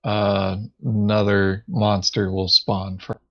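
A man's voice: lowish voice, monotone, slow, creaky, muffled recording. A man chatting casually during a board game in a hesitant, terse, dry manner. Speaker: board game player in a recorded play session. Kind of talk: casual gameplay chat.

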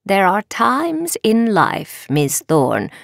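A woman voicing a stern, knowing witch professor in a storybook, speaking formally and deliberately. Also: medium pitch, wildly swooping pitch, vocal fry, no echo, clean studio sound, heavily acted. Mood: gently admonishing.

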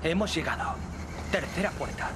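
An actor playing a jumpy young sidekick who gets frightened easily and yelps, in a cartoon dub. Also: male voice, a little high, moderate pace, heavy background noise, lightly acted. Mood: uneasy. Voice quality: excitable, yelps when frightened.

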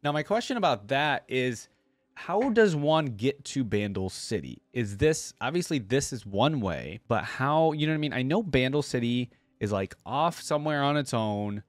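A man's voice: medium pitch, sing-song, moderate pace. A young man reading a story aloud and commenting casually as a reaction video host. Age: young adult.

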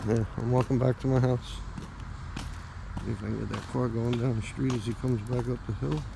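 A man's voice: medium pitch, little pitch movement, normal speed, creaky. A man vlogging outdoors at night as he walks, rambling to the camera he holds. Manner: rambling, talking to the camera while walking. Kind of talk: casual narration while walking. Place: outdoors on a quiet street at night. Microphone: phone camera held while walking. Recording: noisy outdoor phone recording. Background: idling diesel engine.

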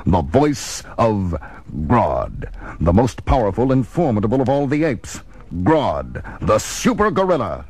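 A man's voice: lowish voice, huge pitch swings, a little slow, darkish tone, husky, dry recording, exaggerated voice. A man narrating a 1970s children's audio comic in a portentous, theatrical scripted style. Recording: music bed under voice.

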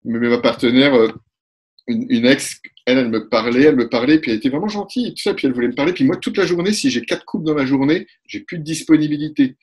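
A man in his forties, a couples therapist, explaining ideas in a lively webinar talk and acting out short exchanges, recorded through a webcam at home. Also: mid-range voice, breathy edge. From Italy. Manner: animated, gestures a lot, acts out quick exchanges. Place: room at home. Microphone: webcam on a video call.

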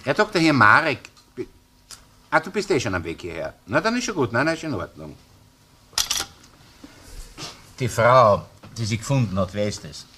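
An actor playing a sceptical, probing police investigator in a crime radio play, speaking colloquial Viennese German with an insistent, questioning manner. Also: male voice, medium pitch, sing-song, brisk, pushed and bright. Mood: businesslike. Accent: Viennese Austrian. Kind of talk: German-language crime radio drama acting. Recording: noisy old radio play recording.

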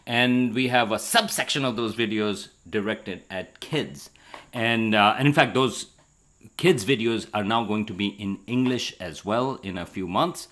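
Middle-aged man, lowish voice, animated explainer, conversational science talk, handheld mic indoors. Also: unhurried. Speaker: astronomy educator and show co-host. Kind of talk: casual conversational science discussion. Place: bright room at a table indoors. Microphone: handheld interview microphone held out to him.

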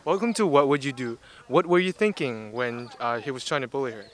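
A man's voice: mid-range voice, a little fast, noisy background. A teenage boy hosting a hidden-camera game show bit, asking casual, hesitant questions. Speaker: student game show host.

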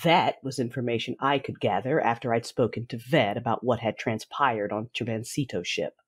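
A woman playing a fierce, grieving warrior mother who narrates her own story in a measured, dramatic audio drama style. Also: extremely low pitch, slightly rough. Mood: solemn, controlled. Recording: voice over a music bed.

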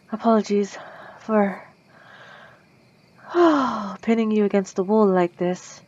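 A woman's voice: medium pitch, muffled recording, slight background noise. Woman playing a possessive, old-fashioned vampire lady. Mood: sultry, possessive. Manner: formal, old-fashioned phrasing; soft, intimate delivery. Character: refined, possessive centuries-old female vampire. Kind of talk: ASMR roleplay.

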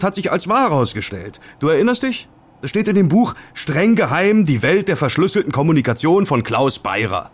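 An actor playing a stubborn young student investigator, wry and questioning, in a German audio drama. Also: male voice, on the high side, husky.

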